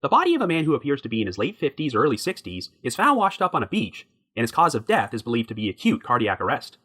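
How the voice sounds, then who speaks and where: Lively, fast-talking male narrator. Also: mid-range voice. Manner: reads a prepared script in an even, measured way. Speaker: podcast host. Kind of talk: scripted true crime narration.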